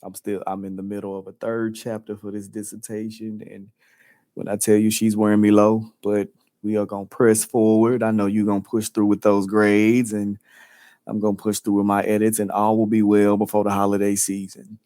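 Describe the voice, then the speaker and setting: Laid-back guy cohosting a podcast, kinda low voice, lots of ums, dry mic. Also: completely flat delivery, normal speed, mellow, no room sound. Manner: playful, chatty, many fillers. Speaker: podcast co-host. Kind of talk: casual podcast chat.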